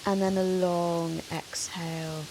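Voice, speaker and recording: A woman yoga teacher leading a guided meditation in a soothing, measured, gentle manner with long pauses. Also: on the low side, flat delivery. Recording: soft hiss of rain noise. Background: soft rain sound.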